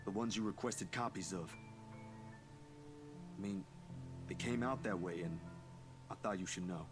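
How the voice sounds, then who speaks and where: A man's voice: low-pitched, a little slow, music in the background. A man playing a polite, unassuming young photographer with a hesitant manner in a visual novel.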